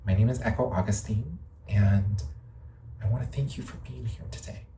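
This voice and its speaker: Gentle, sweet-voiced female show host, as a woman puts her on, soft and welcoming. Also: rumbling low, normal speed, echoey room, recorded from a distance, slight background noise. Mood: sweetly welcoming.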